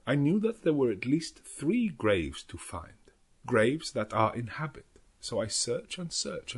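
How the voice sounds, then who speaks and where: A man voicing an elderly professor and vampire hunter in an audiobook, solemn and anguished, with slow, halting foreign phrasing. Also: mid-range voice, slightly rough, heavily acted. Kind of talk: audiobook character narration.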